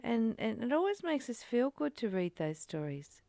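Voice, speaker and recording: A woman's voice: a little high, sing-song, normal speed, creaky, dark tone, no room sound, clean studio sound. A woman reading aloud and reflecting in casual discussion, in a musing, questioning and conversational manner. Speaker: study group co-host, reader.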